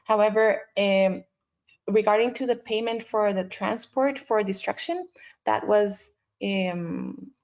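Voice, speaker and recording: A woman moderates a webinar with noticeable vocal fry in a mid-pitched voice. She is polite and accommodating, speaking unscripted. The sound is dull, like a compressed online call. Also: slow pace, darkish tone. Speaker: host and moderator of an online panel. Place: video conference call.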